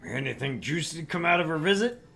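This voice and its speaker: A man's voice: on the high side, animated, fast talker, creaky, fairly bright tone, faint music behind. A man voicing a brash, crude, sleazy middle-aged tabloid editor in a visual novel read-through, with a pompous, pushy manner.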